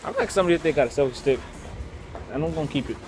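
A teenage boy with a slightly high voice talks casually to his own handheld camera. He is playful and teasing, like someone vlogging a trip. Music and traffic sounds run behind him. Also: animated, racing. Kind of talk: casual handheld travel vlog chat. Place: on the move, outdoors on streets and aboard transit. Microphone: handheld camera at arm's length.

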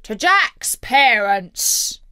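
A menacing male pirate captain from a storybook, acted by a woman. He speaks slowly and forcefully, with a gruff, commanding snarl and big swoops of pitch. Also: sing-song, no echo, full character voice. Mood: pompous dictating.

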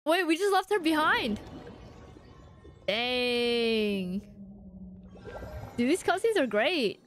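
A young woman game streamer giving casual, excitable, expressive live reaction commentary. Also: sits high, takes it slowly. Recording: game music behind voice. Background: game music.

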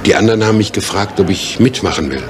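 An actor playing an ingratiating, secretive informant in a 1970s radio play, measured and servile. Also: male voice, fairly low pitch, lively intonation, close to an everyday voice. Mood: ingratiating, guarded. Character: ingratiating, secretive male informant angling for favour. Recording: very noisy old radio play recording.

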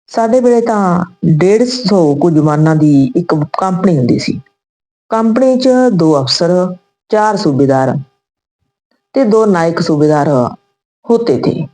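Woman reading a wistful old retired soldier, low-voiced. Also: animated, takes it slowly, warm tone, faint music behind, rough recording. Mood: wistful, regretful. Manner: reminiscing, sentimental.

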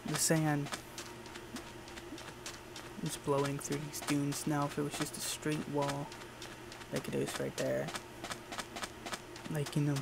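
A man with a fairly high, creaky voice talks casually over a game. He mutters to himself offhandedly, with little rise or fall. The recording has clear background noise. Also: monotone, slow pace, pauses often. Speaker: gamer recording audio logs. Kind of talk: casual gameplay commentary.

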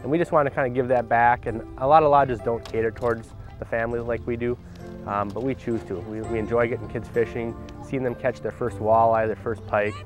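A man's voice: medium pitch, fairly even intonation, brisk. A young man who runs a family lodge, talking earnestly in an outdoor interview, with fillers and restarts. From Canada. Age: young adult. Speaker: lodge owner. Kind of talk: casual interview. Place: outdoors by a cabin. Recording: interview with loud music under it.

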